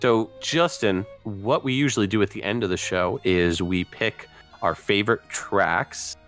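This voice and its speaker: A man's voice: on the low side, unhurried, fairly bright tone. A man from the United States with an American accent, chatting casually as a podcast host. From Connecticut, United States. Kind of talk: casual chat. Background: music.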